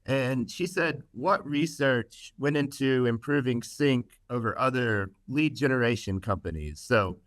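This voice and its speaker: Joking podcast host, smooth dry voice. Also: male voice, medium pitch, lively intonation, unhurried, hardly any pauses, fairly bright tone, no echo. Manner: joking, filler-heavy, upbeat. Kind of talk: casual podcast hosting.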